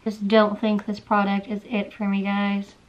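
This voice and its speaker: A young woman in her twenties chatting casually in a bedroom vlog, a little hoarse after losing her voice, with lots of "um" and "like". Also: medium pitch, monotone, moderate pace, highs cut off. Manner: chatty, many fillers like "um" and "like". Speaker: beauty vlogger. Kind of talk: casual product review vlog. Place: small bedroom, indoors. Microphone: camera in front at close range.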